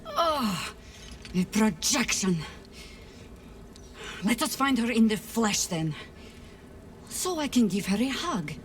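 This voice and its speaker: A woman's voice: fairly high pitch, big pitch swings, slow, pauses often, far from the mic, noisy background. A woman voicing a sardonic, vengeful adventurer in a fantasy video game, with a sarcastic manner. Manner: sarcastic, dry-witted. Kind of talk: dramatic game dialogue.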